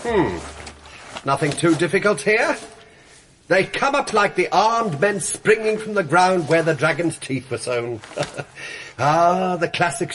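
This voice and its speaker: High-voiced, florid, bookish gravedigger. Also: male voice, fairly bright tone. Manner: florid, rambling, self-amused. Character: bookish, loquacious comic male gravedigger. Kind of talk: radio drama acting.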